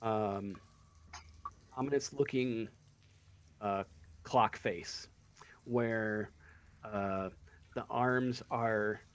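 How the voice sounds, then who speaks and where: A man running a tabletop game as game master, narrating off the cuff in a halting, casual way as he searches for words. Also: on the low side, fairly even intonation.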